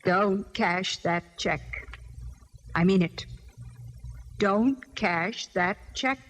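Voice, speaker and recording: An actress playing a haughty, hostile middle-aged society wife in a 1940s radio drama, stiff, imperious and disdainful. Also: female voice, medium pitch, drawn-out words. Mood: angry, threatening. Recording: bright, noisy old radio recording, reverberant.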